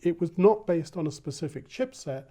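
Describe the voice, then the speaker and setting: A man, a magazine editor, asking measured questions in an interview and prompting the guest with short affirmations. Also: a little high, animated, mellow. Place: listening room.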